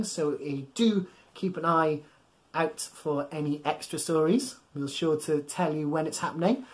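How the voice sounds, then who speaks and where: A man in his thirties reading a bedtime story to young children in a playful, exaggerated way, coaxing them to join in. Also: high-pitched. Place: small furnished room at home, indoors.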